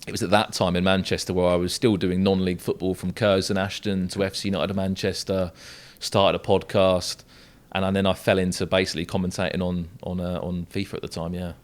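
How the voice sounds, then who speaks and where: A young man with a low-pitched voice and a southern English accent. He is an esports football commentator, chatting casually as a guest on a podcast. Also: little pitch movement, a little fast. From south coast of England. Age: young adult.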